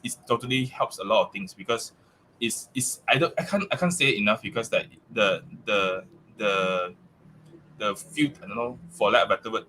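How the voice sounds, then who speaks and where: A man's voice: on the low side, sharp tone, a little hiss or hum, rough recording. A man with a Malaysian accent, English not his first language, talking casually as a podcast guest with many restarts and fillers. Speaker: guest, tech staff member.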